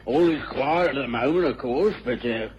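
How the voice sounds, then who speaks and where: Creaky, grumbling old innkeeper. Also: male voice, medium pitch, slight background noise. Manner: querulous, fretful. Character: grumbling, gloomy old village innkeeper in a wheelchair. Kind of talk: British radio drama acting. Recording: dull old radio recording.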